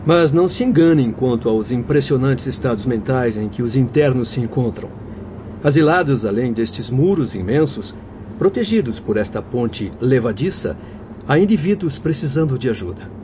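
An actor playing a courteous, earnest doctor and spirit guide in a radio drama, with formal declamatory delivery. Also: male voice, mid-range voice, animated, moderate pace, lightly acted. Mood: earnest, grave. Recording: dull lo-fi audio, clear background noise.

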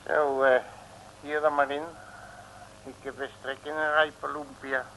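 An actor playing a cocksure, bumbling detective in an old radio comedy, full of slangy bluster and hesitations. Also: male voice, fairly high pitch, level tone, a little slow. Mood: casual. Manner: hesitant fillers, folksy comic threats. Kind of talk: 1950s-60s Dutch radio comedy acting. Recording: noisy old radio recording.

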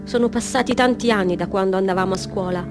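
A woman's voice: mid-range voice, quick pace, over music, lightly acted. An actress playing a naive, dazed young Chechen woman in a radio drama monologue, speaking in plain, urgent fragments. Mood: naive, hopeful.

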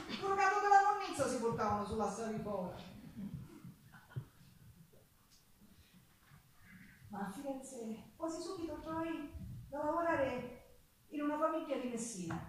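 A woman's voice: a little high, slight background noise, close to an everyday voice. A woman playing a passionate working-class Sicilian who recalls her hard life in a dramatic, emphatic stage monologue with a Sicilian accent. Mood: excited, joyful. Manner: dramatic, emphatic storytelling with repetitions. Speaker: stage actress and singer in a monologue. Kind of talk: theatrical monologue with folk singing. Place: theatre stage with live guitar. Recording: echoey theatre, distant mic, guitar music.

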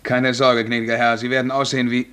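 An actor playing a deferential but quietly defiant serf barber, restrained and measured, in a 1950s German radio drama. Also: male voice, mid-range voice, little pitch movement, close to an everyday voice.

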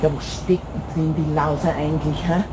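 A woman's voice: deep voice, fairly even intonation, moderate pace, warm tone, a little hiss or hum, lightly acted. A woman playing an indignant elderly passer-by in a children's radio play, grumbling and accusing in a Bavarian accent.

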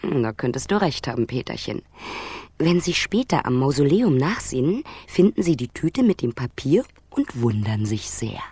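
An actress playing a cunning, cool scheming wife in a radio crime comedy. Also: female voice, very deep voice, very animated, moderate pace, mellow, slightly rough, no echo, full character voice. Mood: smug, conspiring. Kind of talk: radio drama acting.

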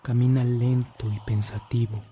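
A male narrator of a radio drama. His middle-pitched voice is very dark and soft-edged, keeping an even, scripted line with little rise or fall. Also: moderate pace, a little hiss or hum.

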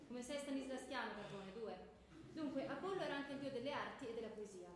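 A woman playing a stern schoolteacher, high-voiced, in echo. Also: expressive, fast, natural voice. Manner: peremptory, declamatory. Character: stern, sardonic schoolteacher quizzing pupils on Greek myth. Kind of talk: comic radio theatre. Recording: echoey stage with loud background noise.

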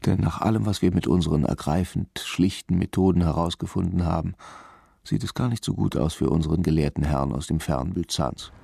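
An actor playing a weary, dogged, introspective police commissioner in a German radio crime drama, understated and measured, with a gravelly, husky voice. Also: male voice, low voice, warm tone, heavily acted. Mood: brooding, confiding.

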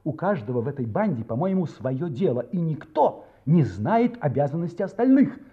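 Earnest, husky amateur sleuth. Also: male voice, on the high side, big pitch swings, normal speed. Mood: earnest, reasoning. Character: earnest amateur sleuth, worried man reasoning out a crime. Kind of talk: audio play dialogue and narration. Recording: slightly muffled recording.

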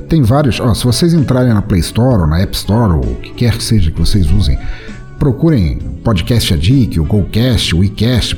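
A man hosting a podcast, giving relaxed, chatty closing remarks that wander a little as he talks to his listeners. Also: fairly low pitch. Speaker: podcast host.

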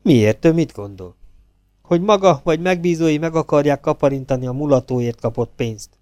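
A wry, cocky private eye, read by a male narrator, tossing off quips with a lively lift in the voice. the voice sits close and dry, with no room sound behind it. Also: on the high side, dry recording, close to an everyday voice. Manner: wry, joking.

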